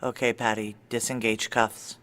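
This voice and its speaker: Noir sci-fi audio drama: male actor as American security chief, keyed-up and wry, bright forceful delivery, mid-pitched. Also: little pitch movement, normal speed, lightly acted. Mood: urgent, exasperated. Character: tense, deferential male security chief narrating a noir thriller. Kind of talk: audio drama acting with first-person present-tense narration.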